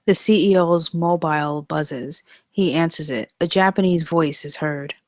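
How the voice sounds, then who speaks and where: A woman's voice: low-pitched, a little slow, no room sound, clean studio sound. A woman reading out scripted stage directions as narrator at a table read.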